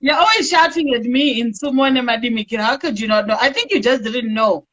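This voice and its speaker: A woman hosting an interview, playful and teasing, firing quick follow-up questions at her guest. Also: fairly high pitch, a bit bright, lo-fi sound. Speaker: host. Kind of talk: casual interview chat.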